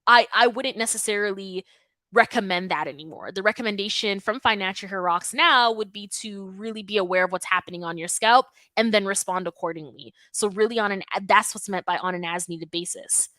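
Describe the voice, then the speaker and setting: A woman from Toronto hosting a live stream, answering viewer questions in an emphatic, animated, conversational way. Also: medium pitch, fairly quick, no room sound. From Toronto, Canada. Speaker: natural hair YouTube host.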